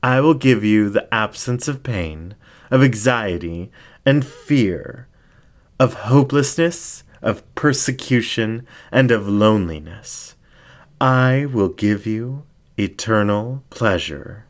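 A man voicing a seductive, commanding male fox demon ringmaster in a story reading, slow, grandiose and coaxing. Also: fairly low pitch, lively intonation. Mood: menacingly seductive.

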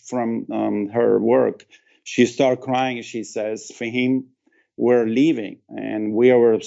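Gravelly Afghan-accented man telling his story. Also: medium pitch, dark tone, lo-fi sound. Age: 50s. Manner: earnest, emphatic, repeats phrases, non-native grammar. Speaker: interview guest, actor and former military cultural advisor. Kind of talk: personal storytelling in an interview.

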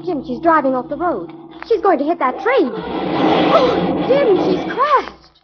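Worried young assistant. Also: female voice, very high-pitched, very animated, a little slow, full character voice. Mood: alarmed. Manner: breathless, anxious exclamations. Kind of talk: 1940s radio acting. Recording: noisy dull 1940s radio recording.

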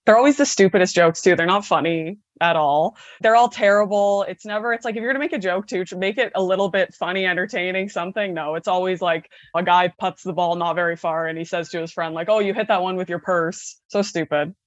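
A woman's voice: fairly low pitch, brisk, few pauses, no echo, lo-fi sound. A woman calling in to a show and chatting casually. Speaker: caller. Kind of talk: casual chat.

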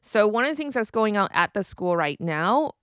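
A woman's voice: mid-range voice, very animated, normal speed, a bit bright, no echo, clean studio sound. A woman originally from Taiwan, hosting a solo podcast episode in an upbeat, conversational way, in her forties. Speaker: podcast host.

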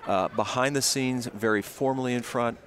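A man's voice: mid-range voice, steady tone, moderate pace, fairly bright tone. A man from Indianapolis promoting his city's sports events in an outdoor interview, speaking with upbeat emphasis. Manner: upbeat, emphatic, lists names and places. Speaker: tourism and events official.

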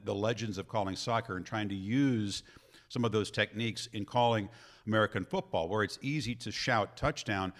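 A man who calls American football, hosting an interview and asking questions in a measured, thoughtful way. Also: lowish voice, fairly bright tone. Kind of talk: interview conversation.

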